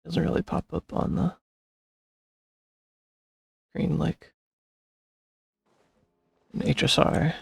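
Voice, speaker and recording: Gravelly, husky young-sounding man gaming. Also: fairly high pitch, little pitch movement, slow pace, long pauses, no room sound, clean studio sound. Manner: rambling, thinking aloud, self-deprecating. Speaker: streamer playing a video game. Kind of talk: casual gameplay commentary.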